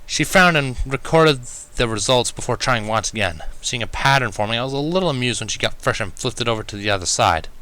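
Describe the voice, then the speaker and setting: A man narrates a first-person story as a fan fiction reader. His voice is bright and crisp-edged, with very lively, expressive intonation at a medium pitch. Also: a little fast, few pauses, rough recording.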